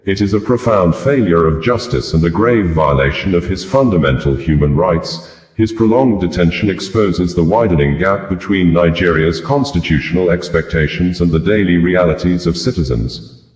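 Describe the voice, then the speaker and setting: A man with a very low voice reads scripted news. His delivery is formal and deliberate, carried through long sentences. The sound is roomy, with clear echo around the voice. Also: darkish tone. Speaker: news reader.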